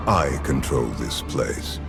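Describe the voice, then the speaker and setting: An actor playing an imperious, gloating immortal emperor in a sci-fi game, slow and menacing with a gravelly voice. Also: male voice, very deep voice, expressive, over music, exaggerated voice. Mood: commanding. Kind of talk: cinematic sci-fi game villain acting.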